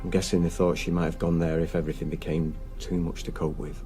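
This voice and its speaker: A man's voice: deep voice, flat delivery, quick pace, warm tone, faint music behind, close to an everyday voice. An actor playing a strained, controlling middle-aged husband and father in a radio drama. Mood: subdued. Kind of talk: naturalistic radio drama acting.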